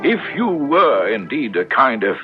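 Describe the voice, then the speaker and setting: Radio drama: a scholarly, fussy old friend, highly animated, musing and hesitant, on muffled vintage audio. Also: male voice, measured pace. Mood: fond, reassuring. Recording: dull lo-fi radio recording with music.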